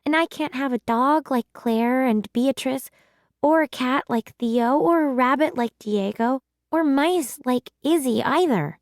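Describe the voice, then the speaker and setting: Young storybook girl, done by a female reader: earnest, pleading, raised pitch, dry close recording. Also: measured pace, dry recording. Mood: plaintive. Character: earnest, defensive young schoolgirl who longs for a pet. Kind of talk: children's storybook read-aloud.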